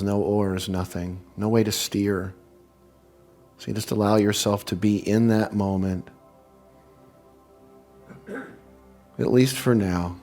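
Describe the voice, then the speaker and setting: Low-voiced man leading slow guided meditation. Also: darkish tone. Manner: unhurried, soothing, measured phrases with long pauses. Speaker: meditation leader and spiritual speaker.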